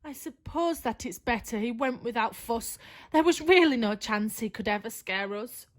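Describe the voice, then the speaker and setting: A woman puts on a grisly living pumpkin from a storybook. It is high and very animated, a grumbling, dramatic monster that horrifies easily. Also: moderate pace, natural voice. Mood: dismissive.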